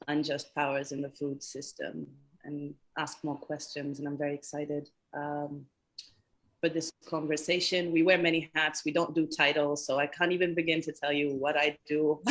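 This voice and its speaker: A woman from Sudan introducing herself as a panelist from an activist collective, expressive and laughing between phrases. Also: low-pitched. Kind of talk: warm self-introduction. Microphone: video call.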